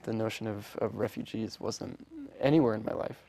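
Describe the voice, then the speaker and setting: A young man whose first language is Polish, speaking as a guest in an interview, earnest and halting with many restarts. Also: on the low side, fairly even intonation, creaky, mellow, no room sound. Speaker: orchestra founder, guest. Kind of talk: interview conversation.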